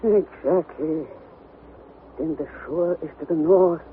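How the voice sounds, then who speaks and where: High-voiced, excitable old professor. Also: male voice, slow. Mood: bitter despair. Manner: theatrical, declamatory, exclaiming. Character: excitable, obsessive older male German professor, stubbornly defiant. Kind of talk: 1960s radio drama acting. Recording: tinny, noisy vintage radio recording.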